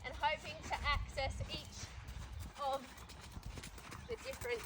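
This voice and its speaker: A woman's voice: high voice, fairly even intonation, a little slow, noisy background. A woman with a congested voice, explaining her training to viewers while she rides. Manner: talking to viewers while riding. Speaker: riding instructor. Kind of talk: coaching while riding.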